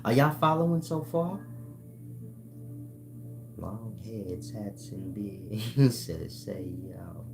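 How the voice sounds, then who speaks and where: A man's voice: lowish voice, expressive, drawn-out words, gravelly, long pauses, rough recording. An American man giving a casual explanatory monologue in blunt, colloquial, sweary language, often checking that listeners follow. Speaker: online spiritual teacher and channel host. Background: music.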